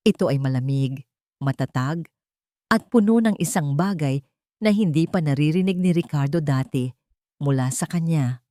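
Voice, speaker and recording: A woman narrating a romantic drama in a steady, dramatic storytelling manner. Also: deep voice, normal speed, long pauses, dry recording, clean studio sound. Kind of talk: scripted audiobook narration.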